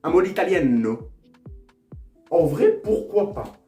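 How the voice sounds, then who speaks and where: A man's voice: a little high, sing-song, takes it slowly, dark tone. A young man vlogging casually, joking at his own expense and repeating phrases as he tries them out. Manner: playful, self-mocking, repeats phrases over and over. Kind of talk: casual vlog, trying out language-app phrases. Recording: echoey room with faint music.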